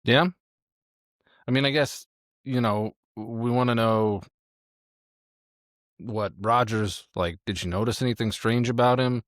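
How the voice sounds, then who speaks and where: A man's voice: medium pitch, steady tone, measured pace, pauses often, no echo, clean studio sound. A man playing a tabletop roleplaying game, thinking aloud and describing actions in casual chat.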